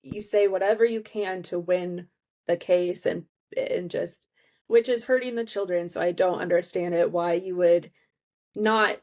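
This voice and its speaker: A woman's voice: on the low side, fairly even intonation, measured pace, a little mellow. A middle-aged woman hosting an interview, empathetic and affirming, sharing her own experiences. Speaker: podcast host. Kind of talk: conversational interview.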